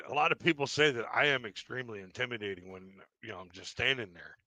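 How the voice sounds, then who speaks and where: A man's voice: mid-range voice, quick pace, sharp tone, no room sound. A man hosting a live-stream interview who jokes about himself. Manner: joking, self-mocking.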